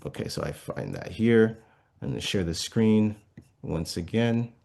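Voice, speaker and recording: A man's voice: on the low side, big pitch swings, a little slow, vocal fry, darkish tone. A man as an interview guest, explaining patiently, with frequent fillers and restarts. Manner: explains patiently, many fillers and restarts, asks listeners if it makes sense. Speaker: guest investment speaker.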